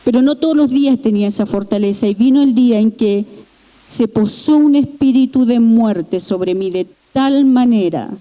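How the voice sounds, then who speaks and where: A middle-aged woman shares her personal testimony before a church congregation. Her voice sits a little high yet sounds deeply warm and mellow. She speaks earnestly, pausing and restarting as she works through her story. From Chile. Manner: earnest, halting, with pauses and restarts. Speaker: church member giving testimony. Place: church sanctuary.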